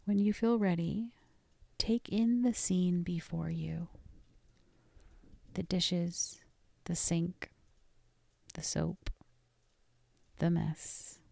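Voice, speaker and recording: A woman narrates a guided meditation in a soft, lowish voice with a good deal of fry. She is gentle and deliberate, leaving pauses and easing off almost into a whisper. Her tone stays dark and relaxed throughout. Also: no echo. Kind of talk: guided meditation, scripted and soothing.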